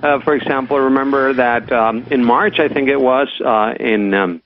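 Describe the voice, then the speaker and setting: Male phone-in guest, mid pitch, halting with lots of fillers, phone-quality audio. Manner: hesitant, many fillers. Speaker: radio panel guest. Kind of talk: talk radio discussion. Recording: tinny phone line with faint hiss.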